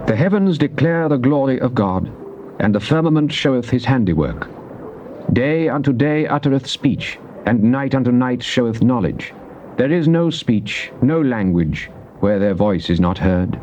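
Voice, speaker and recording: An actor playing a devout psalmist king, reciting scripture in a solemn, declamatory, deliberate way. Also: mid-range voice, animated, darkish tone, close to an everyday voice. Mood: reverent. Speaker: actor reading Psalms in a dramatized Bible recording. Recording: muffled old cassette tape with faint noise.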